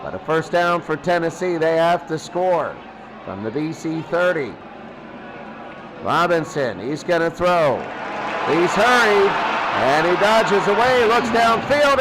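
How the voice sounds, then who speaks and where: A man's voice: high voice, sing-song, slow pace, crowd noise, noisy background. A man calling American football play-by-play in short clipped phrases, repeating himself for emphasis. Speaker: play-by-play announcer.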